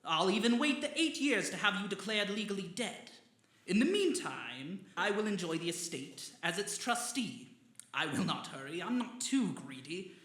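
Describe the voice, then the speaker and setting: An actor playing a cold, scheming killer cousin, theatrical and smugly menacing in old-time radio melodrama style. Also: male voice, sits high, big pitch swings, moderate pace, fairly bright tone. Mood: smug, calculating. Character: cold, scheming male murderer, gloating greedy cousin. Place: theatre stage before a live audience. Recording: echoey live stage recording.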